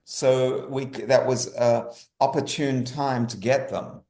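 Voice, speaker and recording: A man's voice: medium pitch, takes it slowly, mellow. A man answering casually as an interview guest, repeating phrases for emphasis. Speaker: guest, writer. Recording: echoey room.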